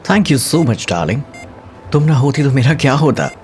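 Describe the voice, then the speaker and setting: Slow, menacing male villain acted by a man. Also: medium pitch, big pitch swings, a little mellow, faint music behind. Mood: smug, seductive. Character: cold, menacing male killer, smooth-talking, impatient lover. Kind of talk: audio drama acting.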